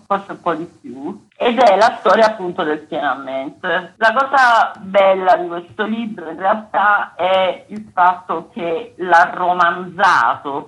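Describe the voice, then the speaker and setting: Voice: mid-range voice, bright, forceful tone, low-quality recording. A radio interview guest, recalling things in a conversational style. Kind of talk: conversational recollection.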